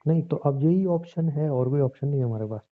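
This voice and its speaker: A man's voice: fairly high pitch, fast. A young man bickering casually as a boyfriend on a phone call, exasperated, scolding and sarcastic. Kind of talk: casual couple's phone chat, playful bickering. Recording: narrow, tinny phone-line sound.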